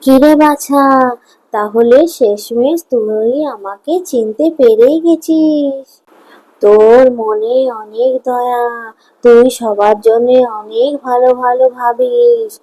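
A woman's voice: fairly high pitch, slow pace, dark tone, low-quality recording. A woman voicing a kindly old goddess in a cartoon fairy tale, speaking solemnly and benevolently. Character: kindly old goddess in old-woman guise blessing a poor man.

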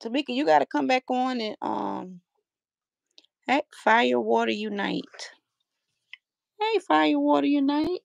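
A woman's voice: sits high, animated, unhurried, vocal fry, long pauses, no room sound, dull audio. A middle-aged woman hosting a casual livestream from a room at home, talking easily into a webcam. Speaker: livestream host.

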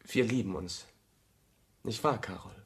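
An actor playing an honest, self-doubting young architect in a radio drama, speaking in a halting, pleading way. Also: male voice, lowish voice, close to an everyday voice. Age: young adult. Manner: halting, repeats phrases, pleading. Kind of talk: German radio play acting, intimate dialogue.

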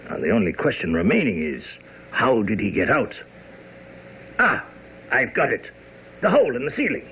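An actor playing a smug, stubborn police inspector in a blustering manner in a radio mystery drama. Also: male voice, mid-range voice, wildly swooping pitch, moderate pace, a little hiss or hum. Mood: triumphant. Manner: blustering, self-satisfied. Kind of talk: old-time radio drama acting. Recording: muffled, narrow-band radio broadcast.

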